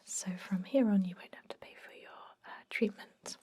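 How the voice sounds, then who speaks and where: A woman playing a chatty but gently stern vampire dentist in a whispered ASMR roleplay, rambling in a confiding way with a breathy voice. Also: mid-range voice, moderate pace, natural voice. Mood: attentive, businesslike. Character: chatty long-lived female vampire dentist, garlic-obsessed, gently stern. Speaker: ASMR performer.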